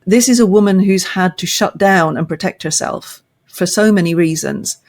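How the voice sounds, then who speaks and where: An older woman, a podcast guest, discussing literature thoughtfully with measured, emphatic phrasing and deliberate pauses. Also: medium pitch, a little mellow. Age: older, past fifty.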